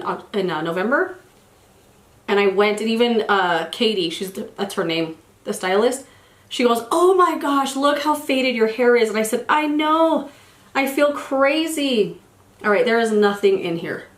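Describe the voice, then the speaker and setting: A woman's voice: mid-range voice, reverberant. A woman in her forties chatting casually while doing her makeup, a little congested after a cold, rambling and restarting her sentences. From United States. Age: 40s. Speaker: beauty vlogger. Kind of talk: casual get-ready-with-me chat.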